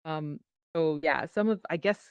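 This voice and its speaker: A woman's voice: sits low, measured pace, clear, resonant tone, dry recording. A woman speaking informally as a panelist, telling stories animatedly in a casual discussion over online voice chat. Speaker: panelist, VR theatre performer and show host. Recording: dull lo-fi voice chat audio.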